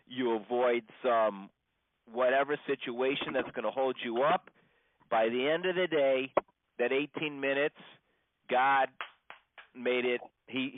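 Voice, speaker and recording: A man, a rabbi, giving an informal religious lesson in a halting, conversational way, repeating points for emphasis. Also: mid-range voice, dry recording. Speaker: rabbi teaching a class. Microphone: phone. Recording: muffled band-limited phone conference call.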